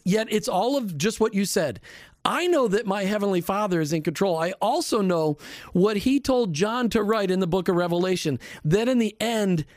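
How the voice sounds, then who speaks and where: A man's voice: high-pitched, a little mellow, no echo. A male radio host in a studio, chatting with enthusiasm and stumbling over his starts. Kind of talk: casual radio talk.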